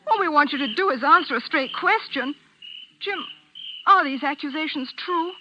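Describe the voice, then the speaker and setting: Woman acting upset indignant young lady, high voice, old radio drama, dull muffled recording. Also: normal speed, background noise, close to an everyday voice. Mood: confused, pleading. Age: young adult. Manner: earnest, indignant, pleading. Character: distressed, indignant young English lady, proud and earnest.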